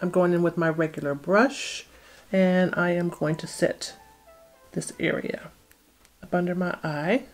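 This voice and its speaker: A woman's voice: on the low side, fairly even intonation, measured pace, faint music behind. A middle-aged woman casually talking viewers through a makeup tutorial step by step, in an explanatory way. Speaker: beauty vlogger.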